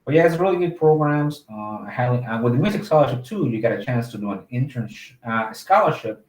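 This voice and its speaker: A man's voice: mid-range voice, animated, a little fast, darkish tone, echoey room, rough recording. A man from Mexico, an admissions officer, answering interview questions in a casual, upbeat way with many fillers.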